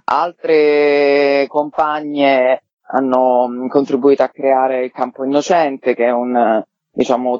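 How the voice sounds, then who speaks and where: A man giving considered interview answers as an activist guest, articulate and measured in his wording. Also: medium pitch, a little mellow. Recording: dull lo-fi call, roomy echo.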